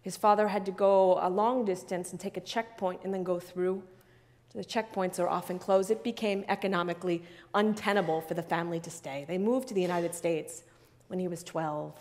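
A woman's voice: on the low side, steady tone, darkish tone. Woman pastor preaching in a reverberant church. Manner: earnest, measured preaching with repeated phrases. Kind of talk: sermon. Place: large church sanctuary.

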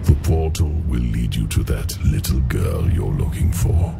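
An extremely low, heavily creaking voice plays an ancient demon schemer in a dark fantasy game. He speaks slowly and insinuatingly, smug and silkily sinister, as if in no hurry at all. Loud music plays behind him. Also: big pitch swings, heavily acted. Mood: ominous warning. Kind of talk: dramatic video game cutscene acting.

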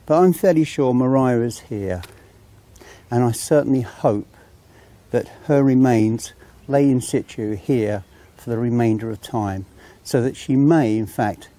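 Dark-voiced man, measured and solemn. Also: medium pitch. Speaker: documentary presenter. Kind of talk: presenting to camera. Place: outdoors in a country churchyard.

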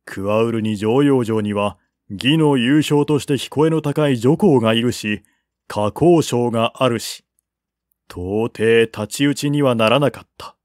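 A man narrating a historical novel as an audiobook, in a measured and deliberate storytelling manner. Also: medium pitch, a little mellow, no room sound, clean studio sound. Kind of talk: dramatic reading of a historical novel.

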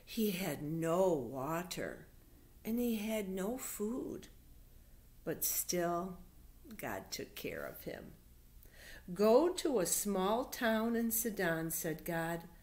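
A middle-aged woman reading Bible stories aloud to young children, explaining simply and patiently with deliberate emphasis. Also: fairly low pitch, sing-song, darkish tone. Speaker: children's Sunday school storyteller. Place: living room at home. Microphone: webcam or laptop microphone at arm's length.

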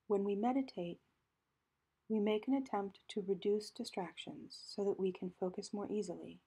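Breathy, mellow woman guiding meditation. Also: medium pitch. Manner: calm, gentle, measured instruction with pauses. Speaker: meditation guide. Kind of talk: guided meditation narration.

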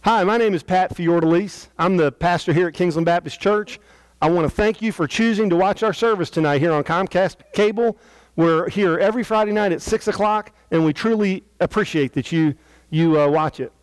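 High-pitched American pastor, dry sound. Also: male voice, moderate pace, smooth, no room sound, rough recording. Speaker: senior pastor. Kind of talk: televised church invitation to viewers. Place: indoor church sanctuary.

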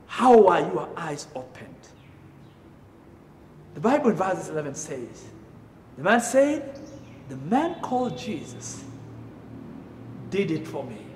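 A middle-aged man with a Kenyan accent preaches in a church sanctuary, pushing up loud on the key phrases. His voice sits high for a man and is lively. A long, roomy reverb hangs behind every word. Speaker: pastor. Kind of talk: sermon. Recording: echoey room reverb.

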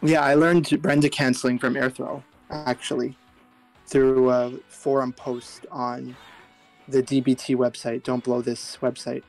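A man co-hosting a live fighting-game stream, calmly explaining techniques and running the tournament. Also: medium pitch, measured pace, soft background music, poor audio. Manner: even-toned, technical analysis. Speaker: stream co-host and tournament organizer.

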